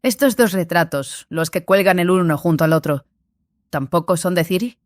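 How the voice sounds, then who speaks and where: A woman's voice: lowish voice, normal speed, no room sound, studio quality, natural voice. A woman playing a curious, respectful young apprentice sorceress in a dramatized audiobook.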